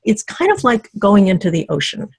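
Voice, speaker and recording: A middle-aged woman leading a dating teleclass on a video call in relaxed, encouraging talk full of fillers and restarts. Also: mid-range voice, normal speed, creaky, husky, no room sound. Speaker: dating coach co-hosting a teleclass. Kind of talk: conversational teaching on a video call.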